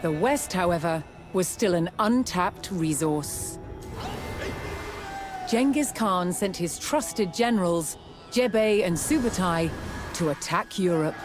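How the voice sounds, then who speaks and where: Slow, measured woman narrator over music. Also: lowish voice, lively intonation. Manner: measured, dramatic storytelling. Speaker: documentary narrator. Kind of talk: scripted documentary narration. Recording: music under the voice. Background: background music.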